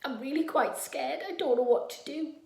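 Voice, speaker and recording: A woman's voice: high-pitched, normal speed, creaky. A woman voicing a scared, stranded girl in a children's story, pleading earnestly to be rescued. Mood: worried, scared. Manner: pleading, earnest, calling out loudly. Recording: echoey room.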